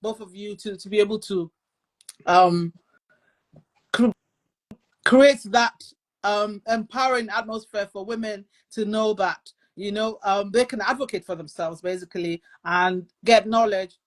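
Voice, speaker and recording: A woman from Nigeria hosting a live panel conversation, speaking in a halting, searching way. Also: medium pitch. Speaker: host of a live discussion.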